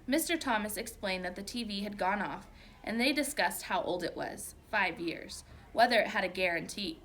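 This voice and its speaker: A woman reading a children's story aloud like a teacher, with expressive, emphatic narration. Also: medium pitch, moderate pace, slight background noise.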